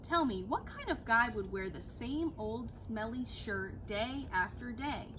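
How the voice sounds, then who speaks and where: A woman's voice: on the high side, expressive, measured pace. A woman voicing an inquisitive, wry boy detective in a storybook, questioning in a matter-of-fact way. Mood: challenging. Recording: clear background noise outdoors.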